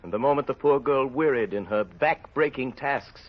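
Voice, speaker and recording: Old-time radio narrator: male, husky, grave, dramatic, dull vintage recording. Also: mid-range voice. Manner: grave, deliberate, theatrical. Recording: muffled old radio with music behind.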